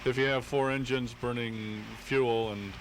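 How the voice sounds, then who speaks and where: A man's voice: mid-range voice, fairly even intonation, measured pace. A man hosting a casual live radio interview, asking curious questions. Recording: noisy home tape of live radio.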